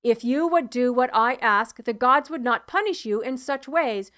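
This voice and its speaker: A woman voicing a stout, red-faced man, an anxious and stern father who speaks loudly, pleading and scolding, in a storybook reading. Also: on the high side, expressive, normal speed.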